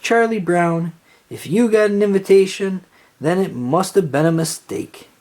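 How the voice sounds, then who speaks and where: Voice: mid-range voice, unhurried, darkish tone, voice pushed up, natural voice. A storybook reader playing a bossy, scornful young girl, an exasperated big sister.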